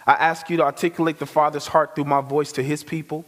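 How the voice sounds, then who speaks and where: A man giving a lively, conversational testimony sermon as a guest speaker, full of slang. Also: a little high, quick pace. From Chicago. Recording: reverberant hall.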